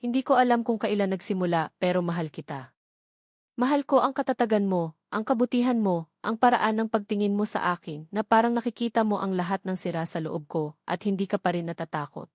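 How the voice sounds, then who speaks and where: A woman's voice: medium pitch, brisk, no room sound, studio quality, close to an everyday voice. A woman voicing a remorseful, grief-stricken man pleading tearfully in a romantic audio drama. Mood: tearful confession. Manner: sobbing, pleading.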